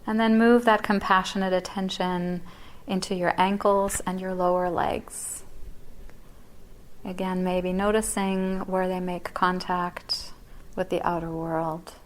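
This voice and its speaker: Woman, yoga teacher, soft unhurried meditation guidance, slightly low voice, drifting into whispers. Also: flat delivery. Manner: soft, gentle and unhurried, trailing into near-whispers. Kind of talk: guided body scan meditation. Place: yoga class.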